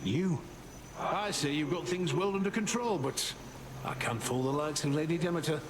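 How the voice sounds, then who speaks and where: A man's voice: a little high, moderate pace, noisy background, close to an everyday voice. A man playing a casual, folksy smith god in a video game, plainspoken in manner. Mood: casual, chummy. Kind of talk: game character acting. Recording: loud music behind.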